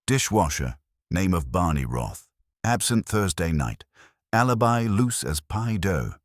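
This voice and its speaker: A man's voice: deep voice, big pitch swings, measured pace, dry recording, clean studio sound, voice pushed up, heavily acted. A man voicing a tough, wry detective's assistant with a clipped, wisecracking manner in an audiobook mystery. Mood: brisk. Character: wry, tough male private investigator's assistant.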